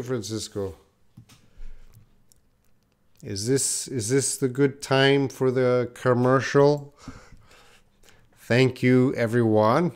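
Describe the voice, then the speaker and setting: A man, an English teacher, chatting on a live-stream class and answering viewers' comments as he reads them. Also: medium pitch. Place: in Korea.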